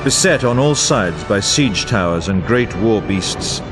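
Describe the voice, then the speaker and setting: Low, solemn epic war chronicler. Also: male voice, lively intonation, measured pace. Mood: grave, stirring. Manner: grandiose, portentous, formal delivery. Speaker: narrator. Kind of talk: scripted dramatic fantasy game narration. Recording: orchestral music bed under voice. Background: music.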